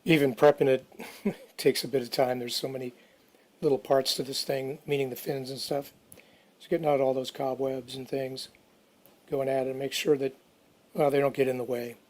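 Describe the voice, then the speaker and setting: Male DIY narrator, mid-pitched and very flat in intonation, informal and off the cuff. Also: normal speed, long pauses. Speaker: DIY restoration video narrator. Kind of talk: casual how-to narration.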